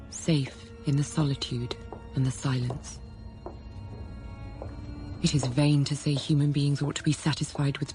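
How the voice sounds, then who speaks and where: An actress playing a restless, self-controlled young governess in a radio drama, speaking in a measured, introspective way. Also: female voice, sits low, a little mellow. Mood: restless, reflective. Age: young adult. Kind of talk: radio drama, first-person literary narration and dialogue. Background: background music.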